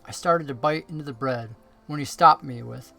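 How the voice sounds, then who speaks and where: A man reading a Christmas story aloud as an expressive scripted narration. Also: medium pitch, moderate pace, vocal fry, soft background music. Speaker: story reader, pastor.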